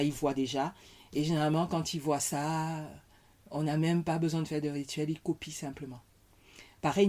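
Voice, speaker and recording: Low, mellow-voiced woman. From Cameroon. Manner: thoughtful, halting, searches for words. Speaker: podcast guest. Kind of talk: casual conversation.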